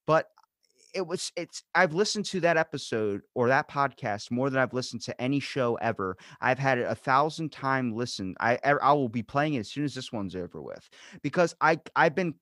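A man with a Baltimore accent, talking in a rambling, animated way and swearing casually in a podcast chat. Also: mid-range voice, no echo, clean studio sound.

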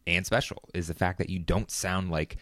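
A man's voice: low-pitched, steady tone, normal speed, fairly bright tone, no echo, clean studio sound. A young man hosting his own podcast, talking alone in a casual, rambling way with lots of fillers. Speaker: podcast host. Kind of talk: solo podcast monologue.

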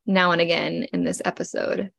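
40ish woman podcasting with a cold, slow and heartfelt, kinda gravelly, dry close sound. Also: on the low side, little pitch movement, no echo. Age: 40s. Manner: gentle and heartfelt, with frequent pauses and fillers. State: congested, getting over a cold. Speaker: podcast host. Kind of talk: personal reflective podcast talk.

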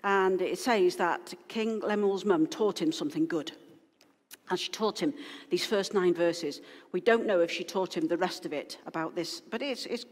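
A woman's voice: fairly low pitch, moderate pace. Chatty woman preaching in a hall. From Wales. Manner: chatty, rambling, with frequent restarts and asides. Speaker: pastor. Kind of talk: informal sermon to a congregation. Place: church hall. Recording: long hall reverb.

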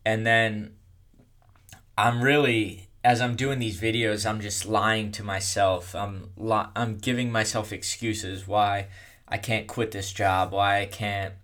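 A young man telling his own story in a casual, rambling way, with a monotone delivery and lots of fillers. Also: on the low side, slow pace. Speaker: content creator.

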